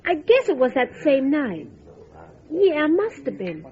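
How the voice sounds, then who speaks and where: An actress playing a wry, chatty small-town diner waitress, telling what she knows in a musing, expressive way, in old-time radio drama style. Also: high voice, takes it slowly, muffled recording, exaggerated voice. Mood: uneasy. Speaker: voice actor.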